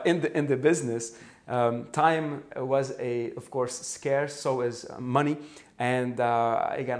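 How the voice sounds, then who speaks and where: Brief: Arabic-native male sales trainer, 40s, lively anecdotal delivery, studio lapel mic. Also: mid-range voice. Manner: animated, acts out anecdotes with sound effects. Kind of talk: storytelling interview answer. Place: studio set with orange backdrop.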